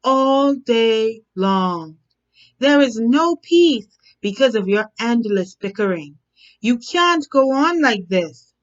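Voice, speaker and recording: A woman's voice: fairly high pitch, lively intonation, slow pace, highs cut off, voice pushed up. Woman playing a scolding, exasperated big toad. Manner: admonishing, exasperated. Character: large scolding male toad, fed up with bickering. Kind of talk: storybook character voice.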